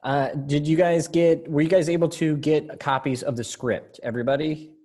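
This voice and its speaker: A man directing a readers theater rehearsal over a video call, talking casually in halting, hesitant phrases. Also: on the high side, fairly even intonation, a little mellow.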